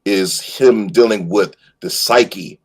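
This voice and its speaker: A man in an animated, casual fan discussion, repeating phrases for emphasis and stumbling over his words as he goes. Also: lowish voice, slow, husky.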